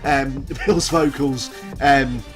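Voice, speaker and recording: A man's voice: mid-range voice, fairly even intonation, very slow. A man hosting a casual music review video, stuffy from a cold and hay fever, chatty and rambling with plenty of ums and self-corrections. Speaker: music reviewer and show host. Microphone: camera video recording.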